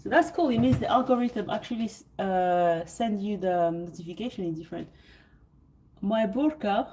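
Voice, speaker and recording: A French woman chats loosely to her stream viewers from a hotel suite, her voice mellow and soft-edged. She rambles and restarts, leaning on fillers, and sounds a little sleepy. A faint hiss of noise sits under the recording. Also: medium pitch, normal speed, rough recording. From France. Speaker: livestream host. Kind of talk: casual livestream chat with viewers.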